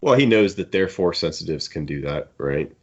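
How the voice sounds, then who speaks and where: A man's voice: sits low, expressive, normal speed, muffled recording. A man co-hosting a podcast, talking through fan topics in a rambling, conversational way. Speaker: podcast co-host. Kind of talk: casual fan discussion.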